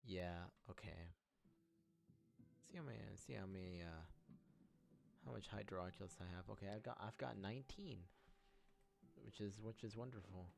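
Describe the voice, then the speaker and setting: A man's voice: on the low side, moderate pace, gravelly, pauses often. A man giving casual, rambling gameplay commentary, repeating phrases as he thinks aloud. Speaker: gameplay streamer. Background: loud game music.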